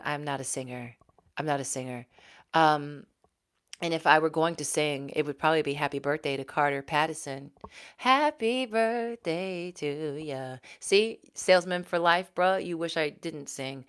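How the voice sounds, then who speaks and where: A woman in her forties from Texas, a former news anchor, chatting casually and reading out viewer comments on her livestream. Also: low voice. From Houston, Texas. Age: 40s. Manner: chatty, reads out viewer comments, laughs often. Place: home.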